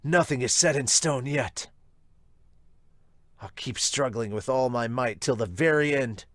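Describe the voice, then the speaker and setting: A man's voice: mid-range voice, unhurried, no echo, studio quality, voice pushed down, close to an everyday voice. A man voicing a determined, proud young male baseball player in an earnest, intense manner for a manga dub. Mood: grim resolve.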